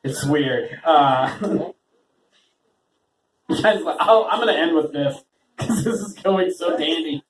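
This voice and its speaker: A man's voice: a little high, animated, slow, pauses often, noisy background. A man hosting an open mic and doing a rambling, self-deprecating stand-up set, laughing often. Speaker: open mic host and comedian. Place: small open mic venue with a talking audience. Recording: roomy audience recording from far off.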